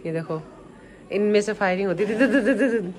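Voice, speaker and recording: A young woman with an Indian accent talks casually into a phone held at arm's length, pointing things out to her viewers. Her voice sits at a middle pitch. Steady background noise from the busy museum halls fills the recording. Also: fairly quick. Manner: chatty, addresses viewers directly. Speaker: travel vlogger. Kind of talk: casual walking tour vlog. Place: large indoor museum halls. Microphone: handheld phone at arm's length.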